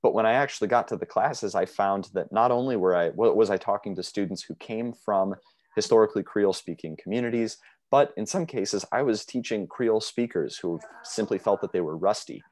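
A young man presenting a linguistics paper over a video call, speaking in a measured way with frequent fillers. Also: on the low side, a little mellow, no echo. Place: small room indoors. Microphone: video call webcam mic.

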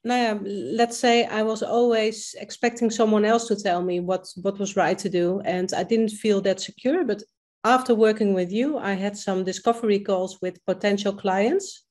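A woman's voice: mid-range voice, moderate pace, darkish tone. A woman in her fifties with a Dutch accent, speaking English as a second language on a video call, hesitant with many fillers and restarts. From Netherlands. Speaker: coaching client. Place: home kitchen.

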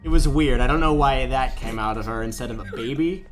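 A man's voice: mid-range voice, fairly quick, music in the background, natural voice. A man playing an affable businessman who rambles through absurd stories in an improvised comedic game fandub. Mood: absurd reminiscing.

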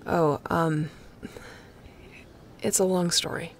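A woman playing a guarded, wry spaceship captain in an intimate sci-fi audio roleplay, with a gravelly voice and dry, teasing, conversational delivery. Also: on the low side, steady tone, slow pace, a little hiss or hum. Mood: reflective storytelling. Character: guarded, wry female spaceship pilot captain, softening toward an old friend.

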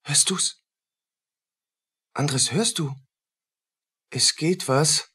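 An actor playing a haunted, humble poor soldier in a radio play, speaking in halting fragments with a husky voice. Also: male voice, a little high, sharp tone, full character voice. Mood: haunted, fearful.

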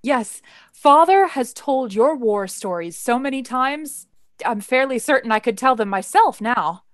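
An eager, cheeky young son played by a woman in a radio play. The voice is fairly high and lively in pitch, teasing, and recorded dry. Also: unhurried, dry recording.

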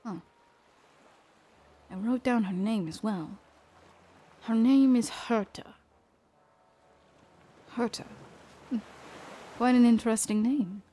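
A woman playing a wary, bookish young woman in an audio drama, musing to herself in a measured way. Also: on the high side, mellow, breathy edge. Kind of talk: fan audio drama monologue. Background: music.

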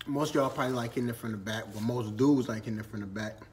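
A man's voice: mid-range voice, rapid-fire, slight background noise. A man in his twenties from Minnesota, chatting casually through a Q&A vlog while eating, filmed on a phone in a storage room. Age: 20s. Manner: laid-back slangy chat, eating while talking. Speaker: vlogger. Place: storage room with shelves and ladders, indoors.

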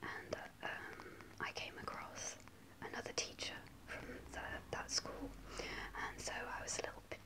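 A young woman telling a personal story in a soft, hesitant ASMR whisper, close to the camera in a small room. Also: a little slow, low-quality recording. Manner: soft unvoiced whisper, hesitant with many ums. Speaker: ASMR creator. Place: small indoor room before a starry backdrop. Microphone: close to a camera at face level.

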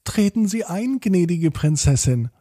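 A man's voice: high voice, big pitch swings, measured pace, warm tone, no echo, clean studio sound, pitched above the performer's own voice, exaggerated voice. A man voicing young girls who play posh princesses with affected, formal speech, in a children's bedtime story. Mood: posh, playful. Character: polite little girls playing posh princesses.

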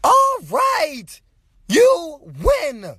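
A woman's voice: extremely high pitch, huge pitch swings, very slow, a bit bright, no echo, clean studio sound, heavily acted. A woman voicing brash, foul-mouthed, bickering cartoon sisters in a profane parody dub, confrontational and swearing heavily. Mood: furious, taunting.

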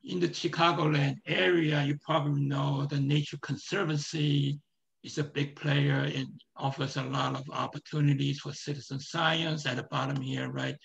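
A man with a hoarse, strained voice giving a live screen-share presentation, talking in a halting way with many fillers and restarts. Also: on the high side, flat delivery, fairly bright tone, dull audio.

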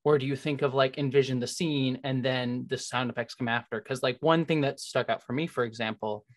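A woman's voice: extremely low pitch, steady tone, brisk. A woman in her thirties hosting a casual interview over a video call, speaking into a studio microphone. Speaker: host, interviewer. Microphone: large microphone on a boom arm.

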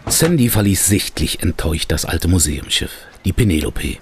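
A man narrating a youth detective audio drama in a gravelly, husky storyteller's voice. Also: sits low, lively intonation, moderate pace, background noise. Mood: measured, wry.

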